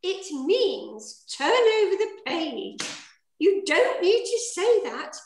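A woman's voice: extremely high pitch, big pitch swings, takes it slowly. An older woman directing a rehearsal over a video call, emphatically correcting and reading stage directions. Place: indoor room, on a video call. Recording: roomy video-call sound.

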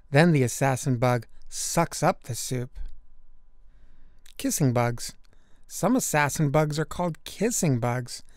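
A man narrating a children's nature book aloud, with deliberate, emphatic storytelling for young listeners. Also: fairly high pitch, darkish tone, no room sound, clean studio sound.

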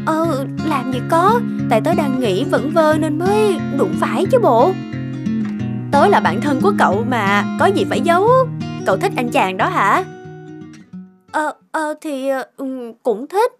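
An actress voicing a young female office worker in an animated cartoon, with a coy, expressive way of talking. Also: high voice, a little slow, over loud music. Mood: chatty, teasing.

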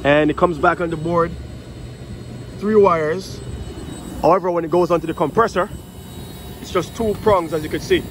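A man's voice: a little high, moderate pace, pauses often. Man explaining a repair outdoors. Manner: casual, explains while working, many fillers. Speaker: HVAC technician and channel host. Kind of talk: hands-on troubleshooting walkthrough. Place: outdoors at a rooftop unit. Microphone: phone camera held in one hand. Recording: phone audio with loud outdoor noise.

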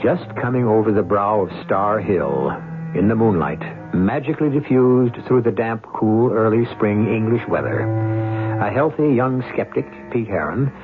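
An actor as the urbane, wry host narrating a vintage radio drama with deliberate, ornate phrasing. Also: male voice, lowish voice, lively intonation, lightly acted. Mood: wry, suspenseful. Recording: tinny old radio broadcast. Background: music.

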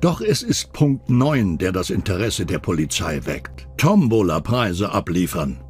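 Lively male true-crime narrator over music. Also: sits low, measured pace. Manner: suspenseful, rhetorical questioning. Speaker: documentary narrator. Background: background music.

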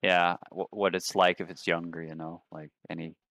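A man's voice: sits low, level tone, normal speed, fairly bright tone, no room sound. A man playing a tabletop game, casually asking questions as a player.

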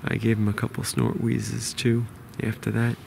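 A man in his forties with a New York accent, quietly explaining a hunt to a close-held camera outdoors in the woods. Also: monotone, normal speed, gravelly, slight background noise. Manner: quiet, low-key explaining. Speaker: bowhunter. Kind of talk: hushed outdoor hunting vlog. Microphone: camera held close to face.

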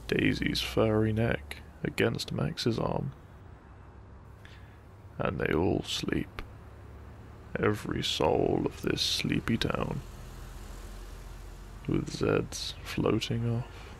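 Gravelly, slow man narrating softly at bedtime. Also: fairly low pitch, a little hiss or hum. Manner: soft, breathy, soothing, often near whisper. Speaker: sleep-aid audio narrator. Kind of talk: gentle bedtime story narration, ASMR.

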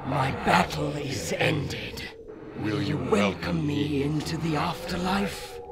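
An actor playing a proud, weary undead Egyptian general in an audio drama, speaking formally and imperiously. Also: male voice, a little high, animated. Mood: solemn, at peace. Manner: slow, imperious, formal archaic speech. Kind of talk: radio adventure drama acting. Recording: loud music under the voice.

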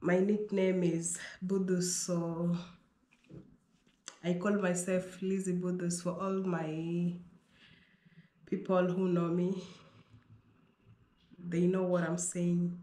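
A woman's voice: lowish voice, level tone, warm tone. A Kenyan woman in her thirties, a native Pokomo speaker, answering viewer questions in a vlog, reading each question aloud before she answers it. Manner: reads questions aloud, then answers them herself in an unhurried way. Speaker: vlogger. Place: small bedroom indoors. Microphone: fixed camera at desk distance. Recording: echoey bedroom.

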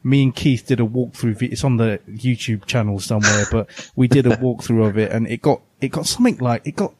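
A man's voice: medium pitch, fairly quick, noisy background. A man co-hosting a podcast, chatting casually and giving opinions with emphasis. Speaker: podcast co-host. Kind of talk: casual retro gaming chat.

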